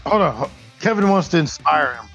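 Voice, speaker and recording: A man's voice: fairly high pitch, lively intonation, brisk, creaky, fairly bright tone, breathy edge, lo-fi sound, soft background music. A man playing a tabletop game, chiming in with casual chat.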